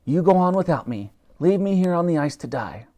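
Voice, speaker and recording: A man's voice: on the high side, very animated, a little fast, dark tone, pitched above the performer's own voice. A man voicing a melodramatic old soldier who speaks in an overblown heroic tone. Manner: overdramatic, heroic tone. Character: melodramatic old male army pensioner.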